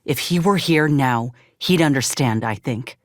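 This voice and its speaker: An actor, a woman, playing an earnest, steadfast ex-soldier in a space frontier video game, speaking in a plainspoken, measured drawl. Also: very deep voice, expressive, no echo, studio quality. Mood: earnest, determined. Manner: folksy, plainspoken, measured delivery. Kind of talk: video game character dialogue.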